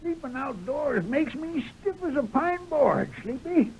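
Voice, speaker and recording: High, creaky old Western prospector. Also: male voice, very animated, a little slow, a little mellow, exaggerated voice. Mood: folksy, eager. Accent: American. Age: older. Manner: folksy frontier dialect, feisty. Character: stubborn, folksy old male prospector of the Old West. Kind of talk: 1940s American radio western acting. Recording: noisy old radio recording.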